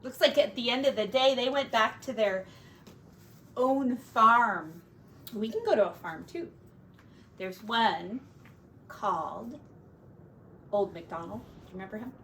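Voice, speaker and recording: A woman teacher reading a picture book to young children expressively, with gentle, simple asides, recorded on a device set back from her. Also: a little high, lively intonation, a little slow, pauses often. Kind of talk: picture-book read-aloud with chatty asides. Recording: distant mic with faint background noise.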